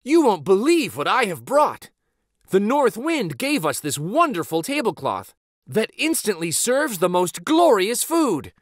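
A man voicing an eager, excitable young boy in a storybook reading. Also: unhurried, no echo, clean studio sound, heavily acted. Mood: excited. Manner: high, excited and pleading.

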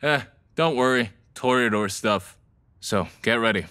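A man's voice: mid-range voice, unhurried, bright, forceful tone. A man acting a flippant young half-vampire guy. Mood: breezy. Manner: casual, offhand, sweary. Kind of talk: audio drama acting.

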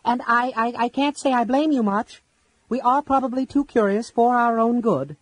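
A man voicing a small, curious male kender with a shrill, stammering voice. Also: normal speed, no room sound, low-quality recording. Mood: nervous.

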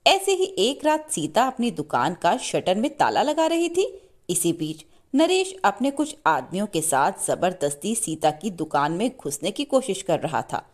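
A woman reading a scripted children's story as an expressive narrator. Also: mid-range voice, a little slow.

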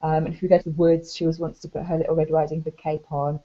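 A woman's voice: sits low, flat delivery, fast. A woman in her thirties talking informally and modestly about homemade crafts on a video call from a room at home. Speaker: craft blogger. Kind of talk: casual craft show-and-tell. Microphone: webcam video call. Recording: dull lo-fi video call audio.

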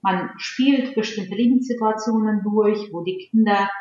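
A woman's voice: medium pitch, a little slow, warm tone, a little hiss or hum. Russian-born woman guest, echoey room. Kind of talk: interview answers. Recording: very echoey, dull sound.

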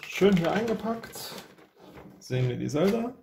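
Gravelly-voiced man presenting an unboxing. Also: sits high, sing-song, a little slow, noisy background. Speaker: unboxing video host. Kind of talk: casual hands-on commentary. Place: indoor room at a tabletop.

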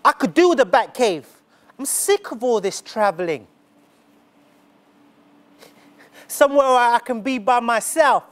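A lonely, high-voiced young boy. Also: lively intonation. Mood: eager. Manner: earnest, slow and deliberate, rising pitch on key words. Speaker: stage performer. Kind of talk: theatrical spoken-word monologue. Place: dark smoky theatre stage.

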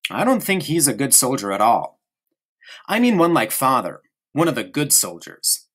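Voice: medium pitch, sing-song, normal speed, pitched above the performer's own voice. A narrator voicing a stubborn, chatty young boy in an audiobook, speaking eagerly and insistently. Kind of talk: audiobook character reading.

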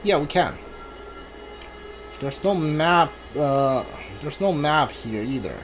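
A man's voice: fairly high pitch, expressive, takes it slowly. A man giving casual video game commentary, musing aloud in short reactions as he plays. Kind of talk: casual let's play commentary. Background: game music.

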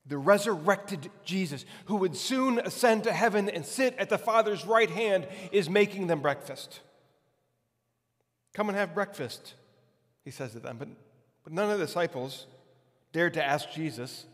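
A man in his forties preaching a conversational sermon as a pastor, with emphatic repetition and deliberate pauses. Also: sits high, expressive. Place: large hard-walled church hall, on stage. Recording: long hall reverb.

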